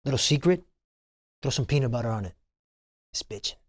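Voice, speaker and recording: An actor plays a kindly, easygoing uncle with a middling voice that moves around a lot in pitch. He talks casually and a bit haltingly, as in a drama table read. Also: lively intonation, close to an everyday voice. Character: easygoing club-owning uncle, hesitant and kindly. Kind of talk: screenplay table read acting.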